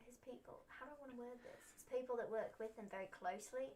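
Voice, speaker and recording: A woman's voice: a little high, fast, crowd noise, rough recording. A young woman hosting a wrestling recap livestream, chatting casually, rambling and opinionated with lots of fillers.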